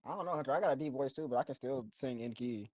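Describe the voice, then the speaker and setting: A man riffs on a show in loose, slangy chatter, often breaking into laughter. His voice sits mellow and dark. The recording is dry and somewhat muffled. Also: medium pitch, quick pace, no echo. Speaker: co-host of a reaction channel. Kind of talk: casual reaction chat.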